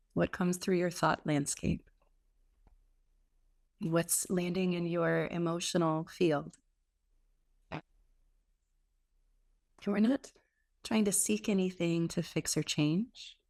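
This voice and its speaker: A woman leading a guided meditation as a teacher, speaking in an unhurried way with gentle pauses between phrases. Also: on the low side. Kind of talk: guided meditation, softly instructive.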